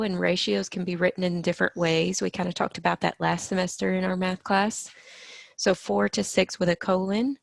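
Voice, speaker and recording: Brief: hoarse, gravelly-voiced woman math teacher, lower pitch, patient step-by-step explaining, dry close sound. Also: no room sound. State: slightly hoarse from allergies. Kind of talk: recorded math lesson.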